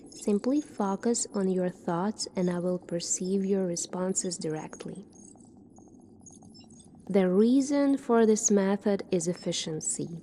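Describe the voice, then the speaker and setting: Slow mellow asmr lady as a calm sci-fi army doctor, measured n clinical, bit of noise behind her. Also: mid-range voice. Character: calm, formal female military doctor in a sci-fi future. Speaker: ASMR roleplay performer. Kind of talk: soft-spoken ASMR roleplay.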